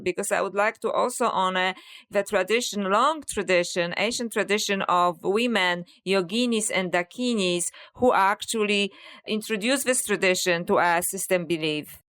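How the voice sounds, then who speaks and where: A woman with a Polish accent speaks in a smooth, resonant voice of middle pitch. She explains things at a measured, reflective pace as a guest in a conversation, often slipping in "you know.". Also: a bit bright. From Poland. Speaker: author and scholar, podcast guest. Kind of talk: interview conversation.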